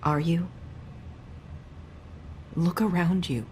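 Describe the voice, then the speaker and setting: A sorrowful young game character with a low voice. She speaks slowly and hesitantly, guarding what she says, over audible background noise. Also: a little mellow. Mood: sorrowful, pleading. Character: guarded, sorrowful young woman hiding secrets. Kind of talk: video game dialogue acting.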